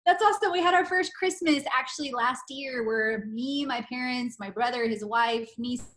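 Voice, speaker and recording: A woman's voice: sits high, moderate pace, a bit bright. A woman in her forties from Poland, a podcast guest talking enthusiastically and laughing often as she chats. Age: 40s. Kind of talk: casual interview chat.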